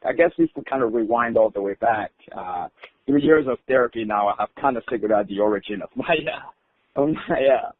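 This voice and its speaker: A man's voice: medium pitch, fairly quick, dry recording. A man from Rwanda, a podcast guest telling his life story with many hesitant fillers and restarts. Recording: tinny phone-like line.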